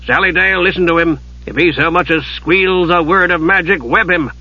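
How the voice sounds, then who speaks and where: A menacing wiry boss of thieves as a male reader acts him, gruff and growling. He is commanding and ominous, husky, on a slightly dull recording. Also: sits high, fairly even intonation, fairly quick, sharp tone, no echo, pitched above the performer's own voice, full character voice.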